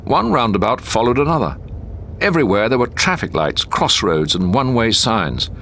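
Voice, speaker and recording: A British man reading a short story as an audiobook narrator, in a measured, expressive storytelling manner. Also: medium pitch, slight background noise.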